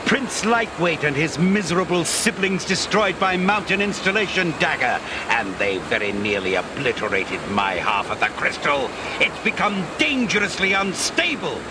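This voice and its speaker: A man playing a scheming, peevish villain lord in an animated cartoon, with a theatrical, scornful manner. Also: a little high, normal speed. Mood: aggrieved. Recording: loud background noise.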